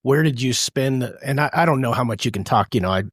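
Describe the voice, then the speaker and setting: Soft-spoken host, dry sound. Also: male voice, mid-range voice, expressive, fast talker, husky, no room sound. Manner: hesitant, trails off softly. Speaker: podcast host. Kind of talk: interview questions.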